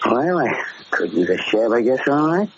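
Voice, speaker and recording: An actor playing a cocky, tough-talking gangster in a slangy 1940s radio drama style. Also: male voice, mid-range voice, very animated, normal speed, vocal fry. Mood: cocky, easygoing. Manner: slangy, brash. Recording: muffled, hissy 1940s radio recording.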